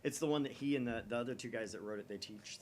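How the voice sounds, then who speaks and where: A man's voice: mid-range voice, quick pace, a little mellow. A man chatting casually in a nerdy, rambling way as a gaming host, swearing freely. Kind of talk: casual chat while voicing a visual novel. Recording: faint background noise.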